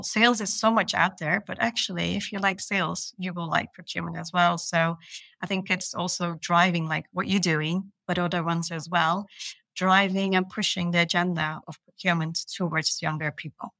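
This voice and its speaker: Woman from Belgium, lowish pitch, dry close sound, enthusiastic podcast guest. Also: fairly even intonation, moderate pace, gravelly, a bit bright, dry recording. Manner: enthusiastic, earnest, with small restarts. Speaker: procurement manager, guest. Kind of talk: podcast interview answers.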